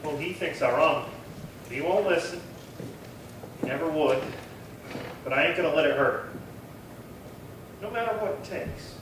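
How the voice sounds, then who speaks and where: Man as nervous rancher, high male voice, defensive pleading, western radio play, noisy echoing hall. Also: expressive, normal speed, long pauses, lightly acted. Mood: frightened, defensive. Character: anxious, pleading rancher, the guilty younger-sounding brother. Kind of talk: old-time western radio acting. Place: live theatre stage performance. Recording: very roomy, distant, noisy stage pickup.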